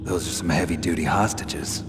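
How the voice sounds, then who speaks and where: An actor playing a gruff, cynical, reluctant ex-soldier in a video game, with a terse, sardonic delivery. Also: male voice, low voice, normal speed. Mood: wry, taken aback. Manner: terse, sardonic, world-weary. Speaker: former special forces agent. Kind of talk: scripted video game voice acting. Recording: long reverb, music behind.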